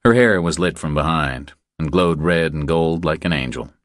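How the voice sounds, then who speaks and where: Very deep-voiced man narrating a thriller. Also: normal speed, no room sound, clean studio sound. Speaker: audiobook narrator.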